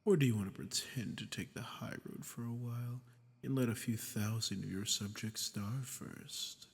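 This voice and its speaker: A man playing a smug, sadistic archdemon in a scripted audio roleplay, speaking to the listener with condescending menace and breathy murmurs. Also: medium pitch, normal speed, close to an everyday voice. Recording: long cavernous reverb.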